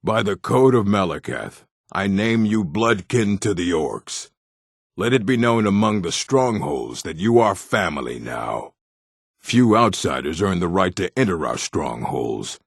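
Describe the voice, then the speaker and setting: Fantasy game orc: proud, curt and stern, deep rough voice, very dry close sound. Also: male voice, sing-song, measured pace, no echo, full character voice. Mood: stern, businesslike. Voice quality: gravelly, rough. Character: gruff adult male orc, blunt proud fantasy warrior folk. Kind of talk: video game character voice lines.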